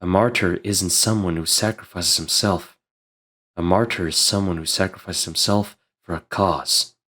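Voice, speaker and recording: A man's voice: deep voice, steady tone, bright, forceful tone. A man playing a serious, composed young male fighter in an audio drama, speaking solemnly and formally. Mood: grave. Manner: solemn, measured, formal wording.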